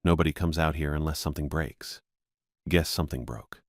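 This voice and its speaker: Easygoing workmate in a horror audio story, played by a male narrator: very low voice, casual, unhurried, dry sound. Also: dry recording. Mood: matter-of-fact.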